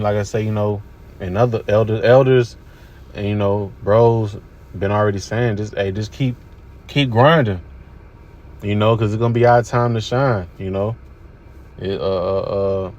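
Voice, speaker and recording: A man's voice: on the low side, a little mellow. A man giving casual religious commentary over video clips, laid-back and repetitive, with many fillers. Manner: laid-back, repetitive with "you know what I'm saying".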